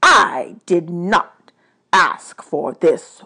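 A woman's voice: fairly low pitch, lively intonation, pushed and bright, husky, heavily acted. A woman voicing an angry, aggrieved male god of the underworld in a storybook reading, imperious and emphatic. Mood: angry ranting. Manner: imperious, ranting, emphatic drawn-out words.

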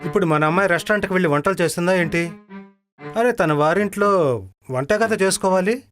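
A stubborn middle-aged father in a moral tale, acted by a man, with a fairly high voice and music underneath. He sounds stern and dismissive, scolding his family as he lays down the law. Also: fairly quick, fairly bright tone. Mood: irritated. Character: stern, stubborn father, a street-food seller, dismissive of daughters. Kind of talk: dramatized moral story voice acting.

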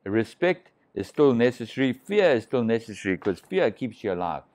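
A man's voice: medium pitch, big pitch swings, normal speed, darkish tone. A middle-aged man, a shark diving pioneer, telling long rambling stories over drinks on a living room sofa, full of restarts. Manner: rambling, restarts, swears freely. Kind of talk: casual storytelling over drinks.

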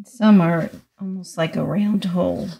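A middle-aged woman teaching watercolor step by step, speaking haltingly as she thinks aloud while painting. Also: fairly low pitch, gravelly, mellow. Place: indoors, small studio room at a table.

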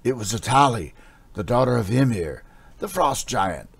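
Older fervent warrior in a fantasy storybook, played by a man, pitched up and forceful. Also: big pitch swings, normal speed, gravelly, slightly rough, exaggerated voice. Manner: fervent, wild. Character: wild-eyed older warrior, awed storyteller.

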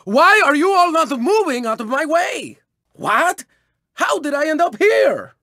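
A man's voice: extremely high pitch, sing-song, a little slow, bright, forceful tone, no room sound, studio quality, exaggerated voice. A man voicing a cocky, flamboyant race car in a video game, with a Spanish accent and a boastful, dramatic manner. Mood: indignant, dismayed. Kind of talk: theatrical video game character barks.

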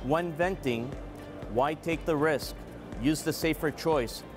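A man in his forties, a product manager, giving a measured, earnest scripted product presentation at a trade show booth on a lapel mic. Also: fairly high pitch. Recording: clean voice over background music.

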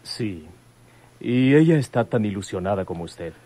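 A man's voice: on the low side, expressive, normal speed, warm tone, no echo. A man playing a loyal, respectful deputy in an old radio drama. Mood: concerned. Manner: deferential, earnest. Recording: slightly noisy old radio serial.

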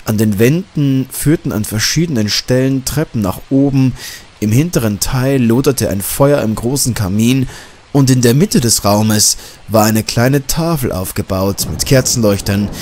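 A man's voice: medium pitch, normal speed, darkish tone. A man reading a scripted horror story as an audiobook narrator.

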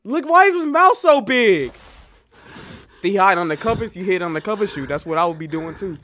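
A young adult man giving casual, excitable reaction commentary in a jumpy, slangy, joking and rambling way, close on the microphone. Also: high-pitched, brisk. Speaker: reaction video host. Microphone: close microphone at a desk. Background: music from the watched videos.

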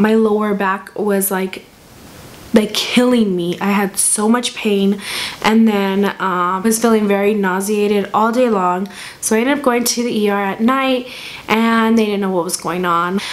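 A young woman vlogging to camera at home, hoarse from a cold, chatty and rambling with lots of ums and restarts. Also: medium pitch. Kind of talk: casual chat to camera. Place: small room at home, indoors. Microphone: camera in front at desk distance.

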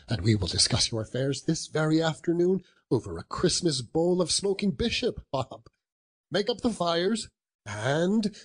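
A man voicing an old miser from a storybook, emphatic and growling, with drawn-out words. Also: medium pitch, husky. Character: old male miser, feigning gruffness, bursting with goodwill.

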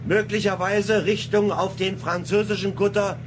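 An actor playing a calm, methodical, authoritative police chief inspector in a German crime radio drama. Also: male voice, sits high, moderate pace, noisy background, close to an everyday voice. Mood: loud, urgent dictation.

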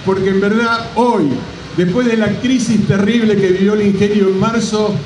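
A middle-aged man gives a measured, deliberate public speech as a company representative at an outdoor podium. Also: high voice, fairly even intonation. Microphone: microphone on a stand at a podium. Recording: roomy distant PA microphone, background noise.

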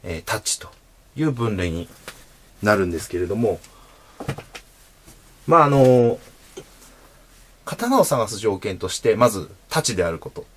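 Thirties male host, low voice with a lively swing, casual and patient explainer, lots of filler pauses, small room and camera mic. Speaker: sword channel host. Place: small indoor room at home.

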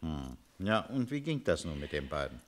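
An actor in a German radio crime play voicing a calm police inspector who puts brief, measured questions. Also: male voice, very deep voice. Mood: calm, probing.

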